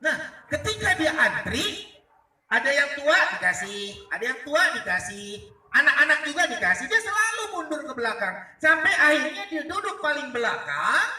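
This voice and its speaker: Male storyteller. Very high, bright, forceful voice, conversational yet dramatic, recorded in an echoey room. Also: very animated, moderate pace. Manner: conversational, dramatic, asks rhetorical questions. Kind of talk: animated oral storytelling to live listeners. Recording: echoey room, distant mic.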